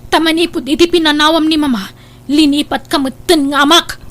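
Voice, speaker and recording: A very high, emotional young female voice from an actress with an Ilocano accent. She is a proud, anxious daughter in a radio drama, quick to reproach and full of feeling. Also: steady tone, lightly acted. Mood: angry, accusing.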